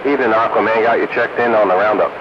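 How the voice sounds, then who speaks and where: Man, lowish voice, folksy radio banter, casual hobbyist chat, noisy CB transmission with static. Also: fairly even intonation, quick pace, lo-fi sound. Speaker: CB radio roundup host. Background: radio static and hiss.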